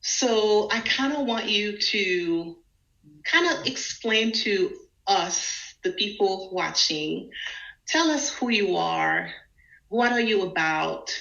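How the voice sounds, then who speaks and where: A woman's voice: mid-range voice, expressive, slow, vocal fry, pushed and bright. A woman from New Jersey, born in Haiti, hosting an informal interview in a chatty way, thinking aloud as she goes. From born in Haiti, raised in New Jersey. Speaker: livestream host, interviewer. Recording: dull, echoey livestream audio.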